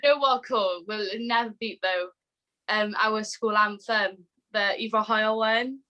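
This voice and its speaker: A woman's voice: medium pitch, fairly even intonation, unhurried, bright, forceful tone, highs cut off. A young woman, a guest chatting casually and emphatically over a video call, laughing often. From Wales. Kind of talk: casual chat.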